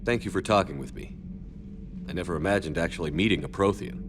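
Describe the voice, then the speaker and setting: A steady military commander, acted by a man, with a low voice and quick, businesslike delivery. He is direct and earnest in video game dialogue, with clear ambient noise behind him. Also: natural voice.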